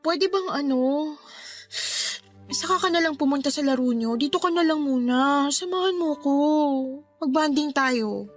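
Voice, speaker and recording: A woman's voice: high voice, unhurried, soft background music. A woman playing a hurt, stubborn young girlfriend in a radio drama, with a pouty, reproachful manner. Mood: pleading. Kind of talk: Tagalog radio drama acting.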